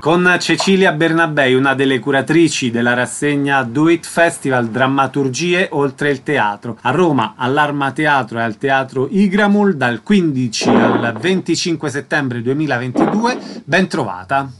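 A man's voice: medium pitch, expressive, normal speed, few pauses, music in the background. A man hosting an arts radio programme, presenting events and asking concise interview questions.

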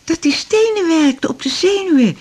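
A woman's voice: extremely high pitch, animated, moderate pace, darkish tone. A woman acting in a radio drama as a naive, chatty patient who rambles and complains matter-of-factly. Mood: chatty, complaining.

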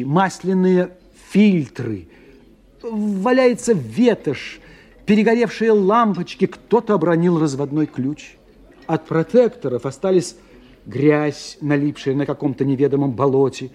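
An actor playing an urbane, ironic scientist who philosophizes with wry courtesy in a radio play. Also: male voice, on the high side. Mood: vivid, ironic. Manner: expressive, philosophizing, wryly courteous. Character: urbane, ironic male scientist explaining his theories. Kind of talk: 1990 radio play acting.

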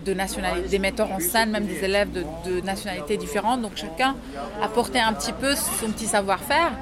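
Woman from lebanon, actress, chatting in a loud crowded café, thoughtful, lots of little hesitations. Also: medium pitch, fairly quick, crowd noise. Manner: thoughtful, many hesitations and restarts. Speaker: interview guest, actress and drama teacher. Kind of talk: casual interview in a café. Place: busy café or restaurant.

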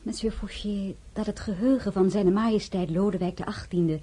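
A woman playing the proud, sharp-tongued heroine of a radio play, narrating and speaking with cool, cutting restraint. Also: medium pitch, fairly even intonation, normal speed, slightly rough, natural voice. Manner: cool, cutting, sometimes whispered. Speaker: narrator and lead role.

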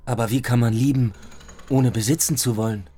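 A man's voice: mid-range voice, fairly even intonation, a little fast, dark tone, husky, natural voice. An actor playing an earnest, lovesick young man in a radio play, speaking in a pleading way. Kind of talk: German radio play acting.

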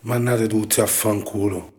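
A man's voice: lowish voice, little pitch movement, measured pace, a little mellow, lightly acted. An actor playing a laconic, dismissive Roman man who drawls one-line interjections in a radio play, with a Roman accent. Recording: long hall reverb.

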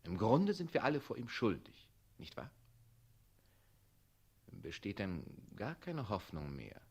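An actor playing a scheming, oily official who feigns brotherly sympathy in a 1960s radio play, with an ingratiating, insinuating manner. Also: male voice, lowish voice, wildly swooping pitch, unhurried, gravelly, darkish tone, husky, full character voice. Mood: feigned sympathy.

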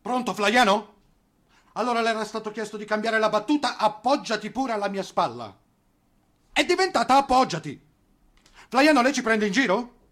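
High-pitched, indignant theatre censor. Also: male voice, animated, moderate pace, pauses often, a bit bright. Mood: stern, exasperated. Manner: indignant, peremptory, officious. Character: scandalized, officious male theatre censor on the telephone. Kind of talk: theatrical radio play acting.

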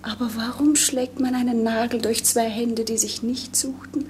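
An actress playing a melancholy, dreamy young princess in a 1950s German radio play, with lyrical, pensive delivery. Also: female voice, a little high, flat delivery, normal speed, a little mellow, natural voice. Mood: anguished, pleading. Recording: noisy 1950s radio recording.

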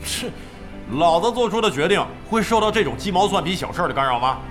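An actor in a Chinese audio drama playing a proud, washed-up older gamer with a gruff, foul-mouthed, defensive manner. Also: male voice, sits high, lively intonation, fast talker, fairly bright tone. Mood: grudging. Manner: blustery, cursing, defensive. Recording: background music under the dialogue.

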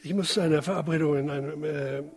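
A man playing a self-important, mannered gentleman in a radio drama. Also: fairly high pitch, fairly even intonation, quick pace, close to an everyday voice. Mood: aloof.

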